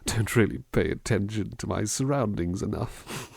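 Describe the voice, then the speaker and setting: A gentle butler played by a man murmurs in a low, breathy voice with a gravelly edge. He is polite and attentive, musing softly and hesitantly in an intimate ASMR roleplay. The sound is close and dry. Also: normal speed, dry recording. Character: gentle, attentive male butler reading poetry at a picnic. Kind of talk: ASMR roleplay, soft-spoken poetry reading and musing.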